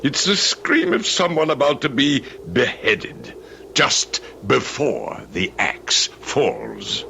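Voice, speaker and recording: Grave courtly manor lord, portentous old radio drama, bright forceful voice, faint hiss. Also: male voice, mid-range voice, wildly swooping pitch, vocal fry, heavily acted. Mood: grave, ominous. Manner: portentous, courtly, deliberate in warnings.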